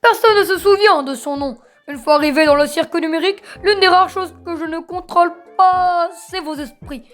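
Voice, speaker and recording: A woman's voice: very high-pitched, moderate pace, few pauses, fairly bright tone. A woman voicing a flamboyant, bossy circus ringmaster in a cartoon fan dub, announcing everything grandly and theatrically. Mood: reassuring.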